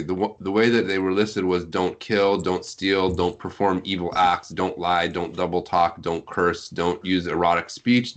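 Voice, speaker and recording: A man, around his thirties, chatting casually on a podcast, explaining things in a self-deprecating way. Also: low-pitched. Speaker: guest, literary translator. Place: at home on an internet call.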